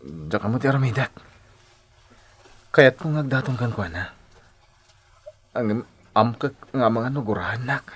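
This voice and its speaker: A man's voice: medium pitch, expressive, a little slow, pauses often, slightly rough. An actor playing an earnest, hesitant young man in a Filipino radio drama, speaking with an Ilocano accent. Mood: worried. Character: earnest, hesitant young man shy about courting his friend. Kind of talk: Philippine radio drama acting.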